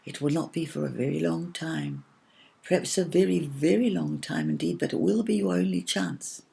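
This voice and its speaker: Woman voicing a hushed, gentle little storybook cloud. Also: low voice, gravelly, husky, heavily acted. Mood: hushed, solemn. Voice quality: soft, hushed, partly whispered. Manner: slow, careful, earnest. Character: gentle, solemn little cloud, softly cautioning.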